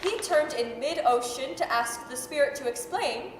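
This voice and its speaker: A woman with a high voice tells a story in old-time radio drama style. Her scripted narration rings in a hall with a long reverb and faint noise. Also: moderate pace.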